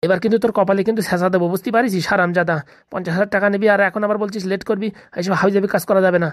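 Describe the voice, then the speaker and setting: Woman voicing a grumbling, fast-talking cartoon crook boss. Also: medium pitch, steady tone, no echo, studio quality, close to an everyday voice. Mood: exasperated. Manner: grumbling, scolding, slangy. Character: irritable bossy male thief, scolding, superstitious. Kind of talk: comedy cartoon dubbing.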